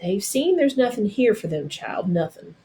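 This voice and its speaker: A woman's voice: medium pitch, big pitch swings, normal speed, mellow, natural voice. A reader puts on a middle-aged mother in a storybook, a loving and devout woman. She talks tenderly, in homespun folksy dialect. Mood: reassuring. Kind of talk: storybook read-aloud character.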